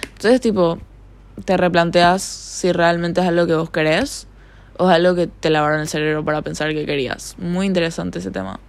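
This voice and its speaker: A woman's voice: lowish voice, steady tone, moderate pace, creaky. A young woman from Paraguay with a Paraguayan accent tinged with Argentine tones, rambling in a casual solo podcast from her bedroom. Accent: Paraguayan Spanish with some Argentine tone. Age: young adult. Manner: rambling, chatty, filler-heavy. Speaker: podcast host.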